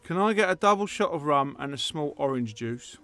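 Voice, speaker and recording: An actor playing a naive, dim and cagey man in a dark comedy audio drama, earnest and guileless. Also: on the high side, expressive, a little fast, soft background music. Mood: pleased. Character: naive, dim, cagey husband-type celebrating his freedom.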